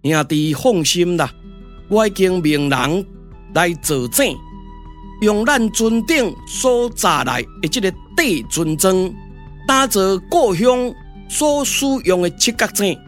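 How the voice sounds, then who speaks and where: A performer with a Taiwanese accent voicing a calm, confident male military strategist in a glove-puppet radio drama, in a measured theatrical manner. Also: medium pitch, faint music behind. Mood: calm, assured.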